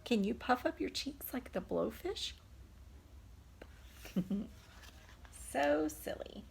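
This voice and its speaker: A woman's voice: on the high side, expressive, slow, gravelly, slight background noise. A woman preschool teacher reading a picture book aloud, expressively, putting simple questions to young children. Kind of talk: children's storytime read-aloud.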